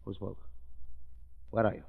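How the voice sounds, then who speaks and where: A man's voice: normal speed, lightly acted. An actor playing a vengeful, deluded madman, accusing and melodramatic in old radio drama style. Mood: desperate, deluded. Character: raving, vengeful madman believing himself a reincarnated duke. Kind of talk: 1940s radio acting. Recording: muffled, echoing vintage radio recording.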